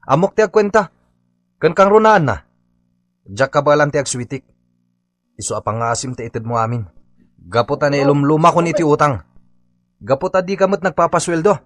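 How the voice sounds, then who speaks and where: A man's voice: fairly high pitch, lively intonation, fairly quick, long pauses, close to an everyday voice. A man playing a stubborn, aggrieved Filipino labourer in a radio comedy drama, insistent and accusing. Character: aggrieved, stubborn male labourer demanding his unpaid wages.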